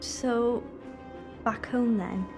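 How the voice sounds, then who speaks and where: A woman's voice: a little high, steady tone, drawn-out words, darkish tone, faint music behind. A woman acting a grateful, questioning young companion of a time traveller in an audio drama, speaking plainly and conversationally. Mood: uneasy.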